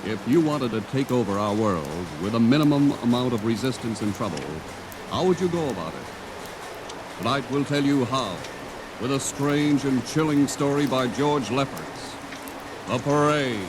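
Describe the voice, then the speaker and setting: A man announcing a 1950s radio drama in a slow, dramatic style. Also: mid-range voice, expressive, noisy background. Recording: vintage radio recording under loud rain.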